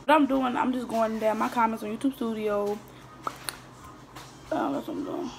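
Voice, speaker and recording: A woman's voice: a little high, fairly even intonation, moderate pace, gravelly, soft background music. A teenage girl chatting casually to her viewers while eating, rambling through questions with lots of fillers and restarts. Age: teens. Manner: talks while eating, mouth sometimes full. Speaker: vlogger. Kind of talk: casual mukbang chat answering viewer questions. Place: small indoor room at a table. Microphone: phone camera on the table in front of her.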